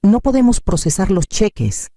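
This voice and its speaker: Mellow-voiced, insistent adult son read by a male narrator. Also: high-pitched, very animated, normal speed, no echo, studio quality, pitched above the performer's own voice. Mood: urgent. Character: worried, demanding adult son leaving phone messages. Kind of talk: scripted story dialogue.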